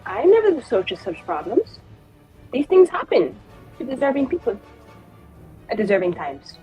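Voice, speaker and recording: A woman plays a haughty, confident female mage in a fantasy tabletop game. She is formal, arch and full of disdainful spite. The voice is husky, with soft music behind it. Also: a little high, huge pitch swings, moderate pace, exaggerated voice, rough recording. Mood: haughty, dry.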